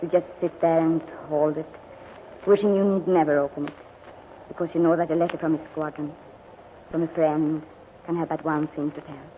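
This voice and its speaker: A woman's voice: low-pitched, fairly even intonation, moderate pace, narrow, tinny audio, lightly acted. An actress playing a brave, earnest, devoted young Frenchwoman in a 1940s radio drama. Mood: wistful grief. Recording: thin, hissy old radio recording.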